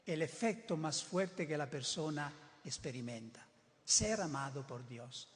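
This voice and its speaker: An older man who is not a native Spanish speaker, preaching a sermon in an emphatic way, often repeating and restarting words. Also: a little high. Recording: reverberant hall.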